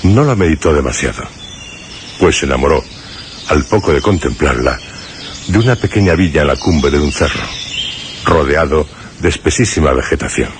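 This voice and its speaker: An actor playing a grave male narrator in a suspense radio drama, with a measured, literary and solemn delivery. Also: very deep voice, animated, background noise. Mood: measured, reflective. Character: grave male narrator recalling a troubled friend in suspense tale.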